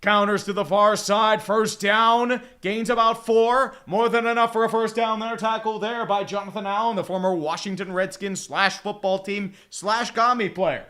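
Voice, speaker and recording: A man's voice: sits high, fairly even intonation, normal speed, hardly any pauses, pushed and bright. A man giving casual, profane live fan commentary on a football game, calling plays and rambling with exasperated restarts. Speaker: fan play-by-play streamer.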